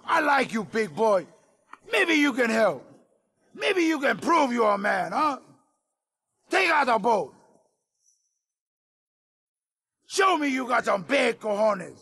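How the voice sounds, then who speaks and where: A swaggering Cuban gang boss from a video game. His voice is very high, bright and gravelly, with a thick rasp. He blusters and boasts in Cuban-accented English peppered with Spanish. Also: sing-song, unhurried, exaggerated voice. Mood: amused, swaggering. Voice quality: raspy, strained, high. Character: hot-headed, macho, swaggering Cuban gang boss, a younger man. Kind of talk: video game cutscene acting.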